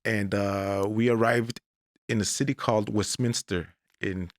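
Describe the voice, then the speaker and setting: A man in his forties, originally from Mexico, telling his life story as a guest on an interview podcast in a deliberate, measured way. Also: fairly low pitch, steady tone, dry recording. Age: 40s. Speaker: guest, English professor.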